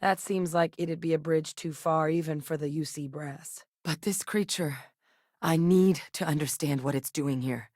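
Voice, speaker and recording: A woman voicing a seasoned, earnest female soldier and xenobiologist in studio video game dialogue, measured and sincere. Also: low-pitched, darkish tone, no echo. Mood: steady. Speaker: game character voice actor. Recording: dry studio recording.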